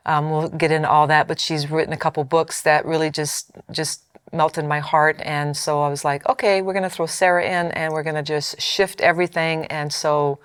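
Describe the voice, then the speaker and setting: A woman's voice: low voice, fairly bright tone, clean tone. A woman hosting a faith podcast in a chatty, joking way, introducing her guests. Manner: chatty, joking, quick to laugh. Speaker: podcast host. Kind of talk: casual hosting chat.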